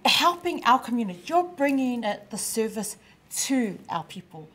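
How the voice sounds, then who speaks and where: A woman's voice: on the high side, big pitch swings, normal speed. A woman, hosting a casual studio chat with many fillers, close to a desk mic. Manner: warm, chatty, many fillers. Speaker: talk show host. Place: small indoor studio. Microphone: headset with desk mic.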